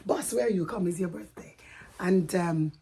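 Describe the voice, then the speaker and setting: A woman hosting a casual travel vlog, her voice almost gone, hoarse and whispery, greeting viewers in an excitable sing-song way. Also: on the low side, measured pace. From Jamaica. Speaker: vlog host.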